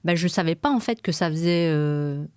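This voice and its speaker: A woman's voice: sits low, moderate pace, darkish tone, clean tone, no echo. Woman interviewer, casual, closely and dryly recorded. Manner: informal, agreeing interjections. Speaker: interviewer, podcast host.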